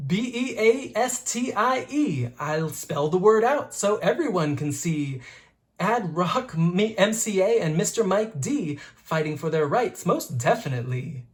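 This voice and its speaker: A teacher reading a rhyming children's book aloud in a rhythmic, expressive way. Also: medium pitch, measured pace.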